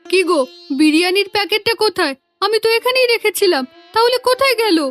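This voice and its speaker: A woman plays a cartoon village wife with a Bengali accent. Her extremely high voice is homely, exclamatory and anxious, set over background music. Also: animated, quick pace, fairly bright tone. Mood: alarmed. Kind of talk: Bengali fairy tale cartoon dubbing. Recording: music under the voice.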